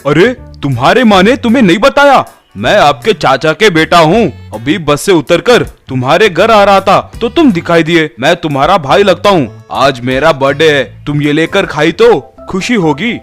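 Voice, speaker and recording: A man voicing a sly street thug who acts like a friendly relative, coaxing and falsely sweet, in an animated story. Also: on the high side, normal speed, soft background music. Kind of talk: animated moral story character.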